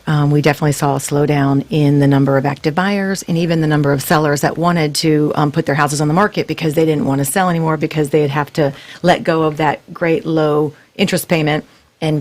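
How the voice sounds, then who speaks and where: A woman from Florida talking as a real estate broker on a radio show, measured and explanatory with frequent ums. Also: low-pitched. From Gainesville, Florida. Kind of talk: radio talk show guest. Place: radio studio.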